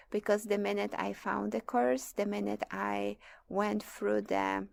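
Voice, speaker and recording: Very creaky young Polish-accented woman. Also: mid-range voice. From Poland. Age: 20s. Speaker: interview guest, PhD student. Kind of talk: conversational interview, personal storytelling.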